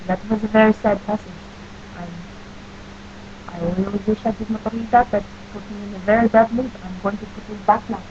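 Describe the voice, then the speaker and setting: A woman with a New Jersey accent answering questions for an audio post in stiff, overly formal phrasing with deadpan silliness. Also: medium pitch, brisk, lo-fi sound, slight background noise.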